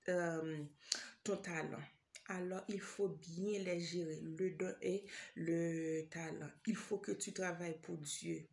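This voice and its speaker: A woman's voice: lowish voice, creaky, a little mellow. A woman from Haiti with a Haitian accent, preaching an informal sermon straight to the listener, hesitant, full of fillers and repeated phrases. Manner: addresses listener directly.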